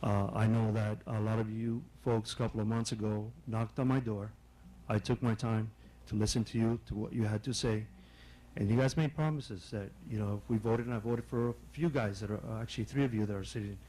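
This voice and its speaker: A man's voice: lowish voice, fairly even intonation, fairly quick, mellow, slight background noise, low-quality recording. A man giving firm public comment as a longtime resident, urging officials to listen.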